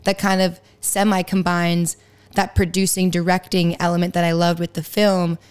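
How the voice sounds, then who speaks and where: A woman in her twenties with a raspy voice, chatting as a podcast guest, with lots of likes and laughs. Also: lowish voice, little pitch movement, normal speed, a little mellow. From Pittsburgh area, US. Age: 20s. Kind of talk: casual interview chat.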